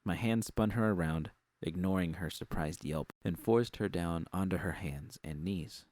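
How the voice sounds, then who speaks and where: A man's voice: deep voice, normal speed, a little mellow, no echo. A man reading a scripted first-person story as narrator.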